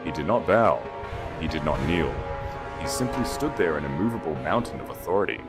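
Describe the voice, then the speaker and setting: A man's voice: rumbling low, big pitch swings, a little fast, loud music behind the voice. A man reading dramatic scripted fantasy fiction as an audiobook narrator.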